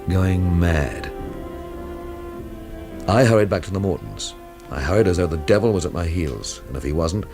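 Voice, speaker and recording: An actor playing a wry, hard-boiled male sleuth who narrates a radio mystery in a dry, clipped manner. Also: sits low. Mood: brooding narration. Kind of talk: radio drama acting and noir narration.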